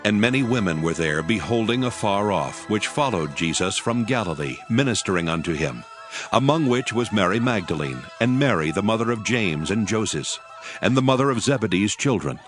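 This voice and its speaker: A man narrating a dramatized Bible reading in a solemn, measured storytelling manner. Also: on the low side, expressive, a bit bright, soft background music.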